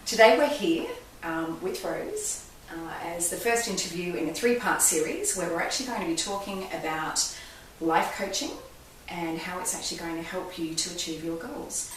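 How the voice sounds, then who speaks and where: An upbeat, chatty woman hosts an interview in a lowish voice. She sounds far from the mic in a very echoey room, with faint hiss behind. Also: lively intonation, normal speed, hardly any pauses. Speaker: host. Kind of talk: interview hosting.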